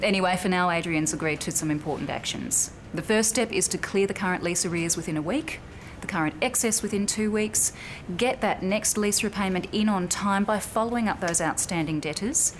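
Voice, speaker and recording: A woman playing a composed, firm but sympathetic bank officer in a naturalistic training drama, speaking in a measured, explanatory way. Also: mid-range voice, lightly acted. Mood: businesslike, reassuring. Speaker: actor in a corporate training drama. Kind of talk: naturalistic acted workplace dialogue. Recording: clearly noisy location sound with room ambience.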